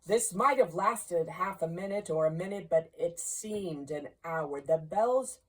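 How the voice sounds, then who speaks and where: A woman narrating a classic storybook in a deliberate, expressive way, pausing between short phrases. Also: fairly low pitch, rough recording. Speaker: amateur audiobook reader.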